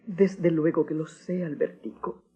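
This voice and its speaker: A woman playing a solemn, knowing nun in an old radio soap, measured and dramatic. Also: deep voice, fairly even intonation, mellow, husky, faint music behind, exaggerated voice. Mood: grave, sorrowful. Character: solemn, knowing nun guarding a painful secret. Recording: dull, lo-fi old radio recording.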